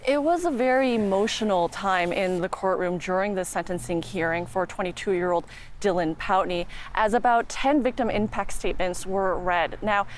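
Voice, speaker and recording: A woman with a Canadian accent giving a measured, earnest live news report as a reporter outside a courthouse. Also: medium pitch. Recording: clear background noise, outdoor ambience.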